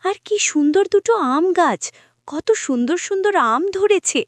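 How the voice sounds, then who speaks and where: A woman's voice: very high-pitched, lively intonation, a little slow, no room sound. A woman voicing a sweet, put-upon and dutiful young village girl in a cartoon, earnest and polite. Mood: amazed. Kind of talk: cartoon voice acting.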